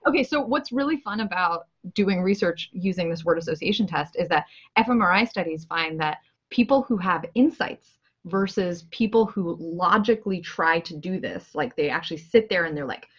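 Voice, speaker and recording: Low-voiced woman hosting a chat. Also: expressive, normal speed, few pauses, a bit bright, breathy edge. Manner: playful, quizzing guests. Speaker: podcast host. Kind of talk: casual interview chat.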